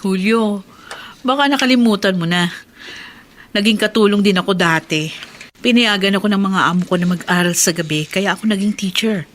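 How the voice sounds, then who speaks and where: An actress playing a kind, chatty and principled schoolteacher wife in a radio drama, speaking frankly and encouragingly. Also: female voice, medium pitch, moderate pace, a bit bright, a little hiss or hum, close to an everyday voice. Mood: firm, persuasive. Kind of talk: Filipino radio drama acting.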